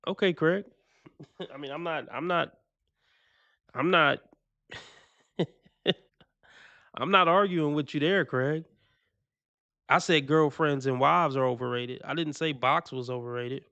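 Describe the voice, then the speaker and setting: A man's voice: on the high side, slow pace, pauses often, sharp tone, no echo, lo-fi sound. A man hosting a stream, reacting to clips in slangy, casual talk, laughing and repeating his points for emphasis.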